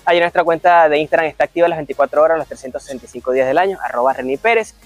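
A man's voice: a little high, very fast, no echo, soft background music. A man presenting and interviewing as the host of a television magazine show.

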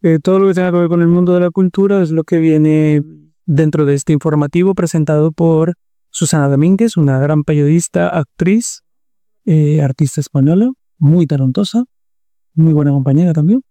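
A man from Colombia, a TV producer answering interview questions in a relaxed, thoughtful way and repeating words as he searches for them. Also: a little high, normal speed, no echo.

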